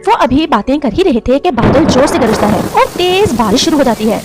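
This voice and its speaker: High-pitched, quick woman story narrator. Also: animated, music in the background. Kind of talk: scripted dramatic story narration.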